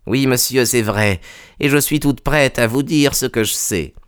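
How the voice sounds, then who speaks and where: A man's voice: medium pitch, measured pace, clear, resonant tone, no echo, studio quality, voice pushed up, close to an everyday voice. A man voicing a female servant, frank and deferential, in a plain-spoken way. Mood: frank, obliging.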